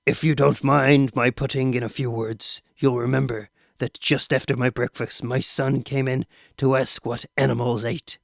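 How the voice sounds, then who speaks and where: A man reading aloud voices a reserved astronomer father who speaks slowly and evenly. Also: medium pitch, level tone, vocal fry, dry recording, clean studio sound, lightly acted. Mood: measured. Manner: slow, measured and restrained.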